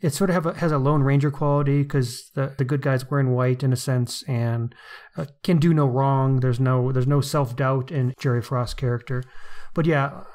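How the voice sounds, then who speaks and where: A man's voice: mid-range voice, fairly even intonation, moderate pace, a little mellow. A man co-hosting a podcast, discussing fiction casually and appreciatively as he thinks aloud. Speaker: podcast co-host.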